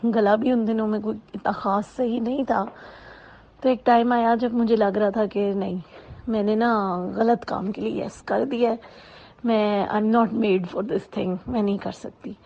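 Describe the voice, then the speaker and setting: A woman's voice: mid-range voice, steady tone, unhurried. A woman giving conversational interview answers and slipping into English phrases. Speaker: amateur audio drama actress being interviewed. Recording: dull, lo-fi sound.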